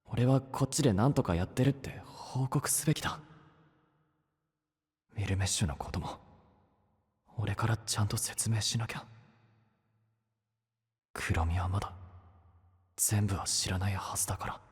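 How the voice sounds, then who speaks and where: A man's voice: fairly low pitch, moderate pace, long pauses, slightly rough, no room sound, clean studio sound. A man voice-acting an earnest, awkward young knight in an audio drama, blunt and casual, often muttering to himself. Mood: frustrated, brooding. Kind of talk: Japanese anime-style voice drama acting.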